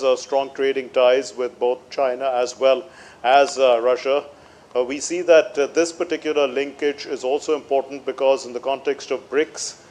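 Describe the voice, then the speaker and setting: A male news correspondent gives live analysis in a flat, even tone. His voice is mid-pitched, and his phrasing is halting, full of hesitations as he works through each point.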